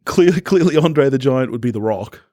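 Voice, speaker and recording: A man's voice: medium pitch, animated, brisk, dark tone, no room sound, clean studio sound. A man co-hosting a film podcast, chatting casually and arguing back in a teasing, contrarian way. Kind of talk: casual chat, bantering debate.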